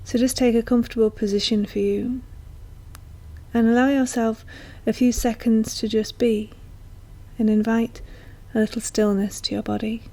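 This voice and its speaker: A woman guiding a meditation in a soft, breathy, often near-whispered voice, speaking gently and slowly with long, soothing pauses. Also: on the high side, flat delivery, low-quality recording. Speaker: guided meditation teacher. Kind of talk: slow guided meditation narration.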